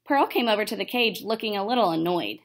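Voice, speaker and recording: A young adult woman reads a storybook aloud to children in a classroom, with a medium-pitched voice. Her delivery is expressive, in the way of a teacher at story time. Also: quick pace. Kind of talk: expressive picture-book read-aloud. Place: indoor classroom.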